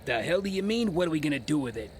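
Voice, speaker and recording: An actor playing a cocky, aggressive young street thief in an audio drama, brash, slangy and bossy. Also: male voice, on the high side, sing-song, racing, background noise.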